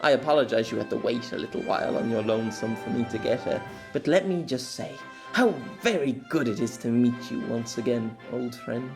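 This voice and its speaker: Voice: mid-range voice, expressive, brisk, gravelly, music in the background. A narrator voicing a spirited, imperious young warrior noblewoman with formal, old-fashioned and emphatic speech in an amateur audiobook. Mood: warm, grand. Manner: formal archaic phrasing, emphatic. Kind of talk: amateur audiobook character acting.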